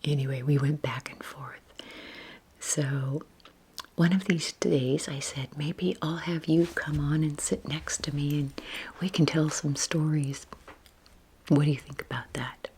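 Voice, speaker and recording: An older woman in her sixties whispers softly and breathily, rambling informally and unhurriedly in a relaxation ASMR style, with a self-deprecating manner. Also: low voice, steady tone, a little slow, slight background noise. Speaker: YouTube video creator.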